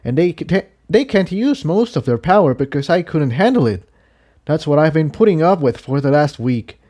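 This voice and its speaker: A man's voice: a little high, very animated, moderate pace, warm tone, voice pushed up. A man voicing an earnest, cautious male pony in a story reading, explaining himself hesitantly. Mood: troubled, explaining.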